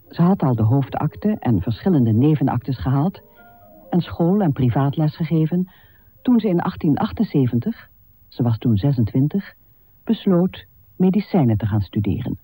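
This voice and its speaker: Male narrator for radio play: formal, measured, very warm dark tone, animated melody. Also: on the high side, slightly rough, soft background music.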